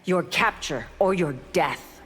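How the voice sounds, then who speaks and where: A woman's voice: on the low side, lively intonation, slow pace, fairly bright tone, breathy edge, heavily acted. A woman playing a relentless, stern law enforcer in a video game, firm, formal and unyielding. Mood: stern, resolute. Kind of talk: dramatic video game acting. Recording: hall reverb, music underneath.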